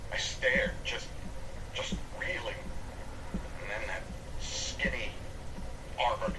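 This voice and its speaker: A man playing a desperate, haunted father, halting and intense, in a video game audio diary. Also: medium pitch, lightly acted. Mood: shaken, desperate. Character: desperate, haunted father searching for lost daughter. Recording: echoey, distant, noisy game playback.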